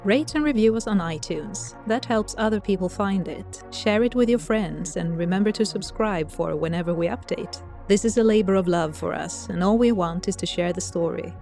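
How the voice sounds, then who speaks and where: A woman's voice: mid-range voice, darkish tone. Woman narrating audio drama over music.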